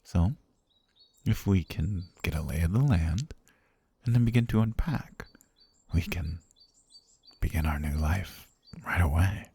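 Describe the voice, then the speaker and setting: A man voicing an obsessive, soft-spoken butler who has kidnapped his lady, talking in a hushed, half-whispered, gently menacing way for an ASMR roleplay. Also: low-pitched, animated, a little slow, long pauses, slightly rough, full character voice. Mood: soothing possessive. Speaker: voice actor.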